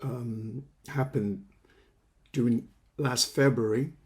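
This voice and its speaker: Older man, 70s, halting lecture delivery, repeats words mid-sentence. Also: mid-range voice, steady tone. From China. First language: Cantonese. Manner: halting, repeats words while searching for phrasing. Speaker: counseling psychology teacher. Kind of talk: lecture introduction.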